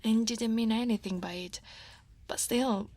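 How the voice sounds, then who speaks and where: A woman with an Italian accent playing a guarded, workaholic dragon woman who is a senior coworker, in a soft, often whispered ASMR roleplay, wry and self-deprecating with sighs and soft laughs. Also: on the high side, steady tone, a little slow, dry recording. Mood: wistful. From Italy.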